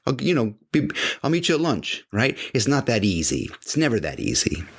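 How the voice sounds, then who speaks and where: A man's voice: medium pitch, huge pitch swings, normal speed, husky. A middle-aged man hosting a podcast, giving an emphatic motivational talk that repeats phrases for weight and acts out the voices of imagined people. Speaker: podcast host. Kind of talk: motivational monologue.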